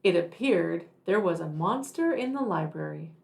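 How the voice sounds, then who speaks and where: A woman reading a picture book aloud to young children, deliberate and expressive in her narration. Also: lowish voice, creaky. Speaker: children's read-aloud storyteller.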